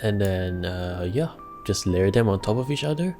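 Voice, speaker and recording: A young man giving a casual music production tutorial from a home studio, chatty and relaxed, laughing between steps and using plenty of fillers. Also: lowish voice, moderate pace. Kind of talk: casual screen-recorded tutorial.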